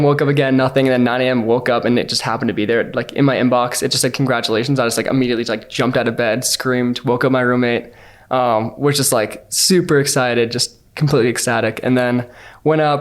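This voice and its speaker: Young man, around 20, from New Jersey, mid-range voice, casual upbeat storytelling. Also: mid-range voice. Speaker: scholarship student.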